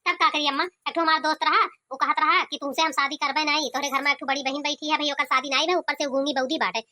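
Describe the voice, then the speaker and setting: Woman voicing a high, sharp-tongued resentful younger sister, Awadhi accent. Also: steady tone, fast talker. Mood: bitter complaining. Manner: complaining, scolding. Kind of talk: cartoon comedy voice acting.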